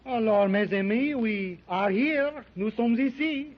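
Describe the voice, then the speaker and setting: An actor playing an excitable middle-aged French explorer in a 1930s radio serial, speaking with a French accent and peppering his talk with French words. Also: very high-pitched, unhurried. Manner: exclamatory, peppers speech with French. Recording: dull old radio recording with faint hiss.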